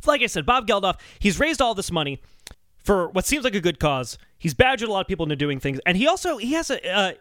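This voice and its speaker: A man hosting a podcast in casual, comedic discussion with animated, exasperated emphasis. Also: sits high, quick pace, no room sound, clean studio sound. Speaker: podcast host.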